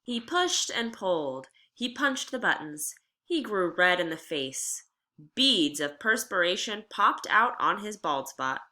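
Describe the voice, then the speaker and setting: A woman reads a story aloud in the manner of a schoolteacher. Her voice is bright and animated, moving widely in pitch. She takes her time, shaping each sentence with deliberate expression. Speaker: teacher reading aloud to students. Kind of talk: expressive read-aloud of a children's memoir.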